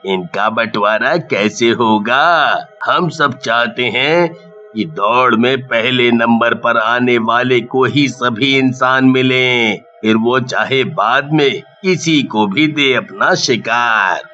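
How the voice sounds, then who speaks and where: A man's voice: mid-range voice, slow, bright, forceful tone, muffled recording, soft background music. A man voicing a menacing male forest ghost in a horror cartoon. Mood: deliberate. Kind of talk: cartoon horror story dialogue.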